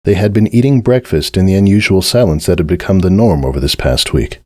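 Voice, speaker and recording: A man giving a dramatic scripted reading of a story as narrator, with measured, solemn and deliberate phrasing. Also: deep voice, a little mellow, dry recording, clean studio sound.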